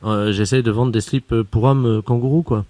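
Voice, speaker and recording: A man's voice: mid-range voice, fairly even intonation, a little fast, mellow, no room sound, natural voice. A man playing a shameless, unbothered door-to-door salesman with earnest, hesitant patter in a prank call. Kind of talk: prank call character comedy.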